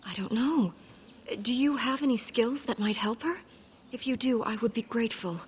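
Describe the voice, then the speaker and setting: An actress playing a gentle, devoted elven herder in a fantasy game, speaking in a measured, earnest, formal way. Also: female voice, fairly high pitch, slight background noise, lightly acted. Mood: anxious, hopeful. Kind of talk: fantasy game dialogue acting.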